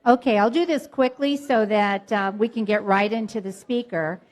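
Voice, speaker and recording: A woman's voice: mid-range voice, normal speed. A woman in her sixties introducing a guest speaker at a club meeting, informal, with ums and light joking. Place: meeting room.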